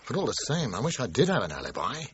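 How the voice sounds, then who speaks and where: An actor with a British accent playing a concerned, jittery musician friend in a radio drama. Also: male voice, mid-range voice, huge pitch swings, fast talker. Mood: uneasy. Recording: muffled, noisy radio drama recording.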